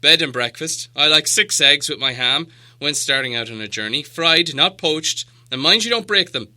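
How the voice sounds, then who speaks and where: A man acts out a storybook dwarf leader with a forceful, sharp-edged voice. He is proud and gruff, speaking with imperious weight as one used to being obeyed. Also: fairly high pitch, lively intonation, normal speed, voice pushed up. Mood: demanding. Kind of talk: storybook character voice.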